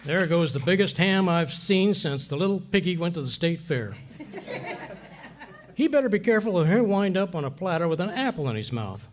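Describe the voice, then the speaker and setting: A man's voice: high voice, very animated, normal speed. A man playing a stubborn, boastful, bumbling middle-aged husband in 1940s radio comedy style, with folksy, slangy grumbling. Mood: wisecracking. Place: live performance of a radio play. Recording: hissy, noisy live recording.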